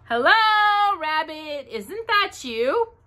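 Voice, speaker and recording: A woman voicing a naive, greedy, good-natured male bear in a storybook reading, in a high singsong voice. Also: very animated, drawn-out words, bright, forceful tone, exaggerated voice. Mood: cheerful.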